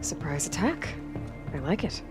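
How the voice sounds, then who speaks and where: A woman's voice: low-pitched, big pitch swings, normal speed, music in the background. A woman playing a determined young warrior in a video game, guarded and wry, with a direct, skeptical delivery. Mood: dry, probing. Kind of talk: cinematic video game acting.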